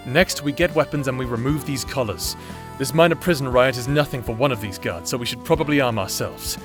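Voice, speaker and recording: A man playing a terse, wary prisoner leading an escape in an audio drama, brisk and no-nonsense, with music behind. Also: medium pitch, natural voice. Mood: planning.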